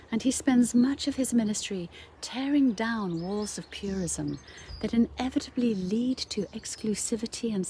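A woman in her fifties giving an earnest, measured spoken reflection as a bishop. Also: medium pitch, dark tone. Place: garden, out of doors. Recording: outdoor ambient noise, birdsong.

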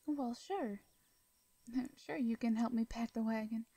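A woman playing a caring, protective young female farmer in a roleplay audio drama, with a Southern US accent and a soft, hesitant, folksy way of talking. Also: fairly high pitch, measured pace, breathy edge, no room sound. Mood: friendly, reassuring.

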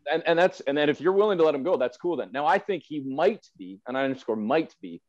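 A man's voice: a little high, animated, fast, no room sound. A man, debating sports emphatically as a guest, repeating phrases, over dull video-call audio. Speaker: radio play-by-play announcer, podcast guest. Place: room at home on video call.

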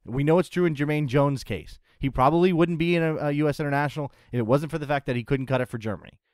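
A man's voice: a little high, fast talker, clear, resonant tone, no room sound. A young adult man co-hosting a podcast, arguing his point emphatically with many restarts, on a studio mic in a small room. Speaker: podcast co-host. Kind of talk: casual opinion chat on soccer. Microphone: studio mic on arm, headphones.

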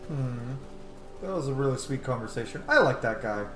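A man's voice: mid-range voice, expressive, fairly quick, music in the background. A man in his thirties giving casual let's play commentary in a small room. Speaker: game streamer. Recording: roomy, noisy, game sound behind.

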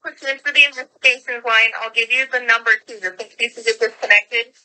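A woman's voice: a little high, fairly even intonation, quick pace, sharp tone, smooth. An American woman handling an official phone call, explaining procedure politely as a sheriff's office call taker.

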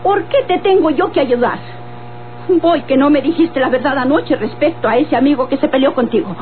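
A woman playing a sharp-tongued old Mexican grandmother in a radionovela, suspicious, accusing and interrogating. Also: sits high, normal speed, a little hiss or hum, close to an everyday voice. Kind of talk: Mexican golden-age radionovela acting. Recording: tinny old radio, faint music.

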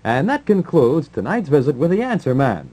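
Animated, playful 1950s radio man. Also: on the high side, normal speed. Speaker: radio co-host and announcer. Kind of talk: 1950s radio announcing and casual banter.

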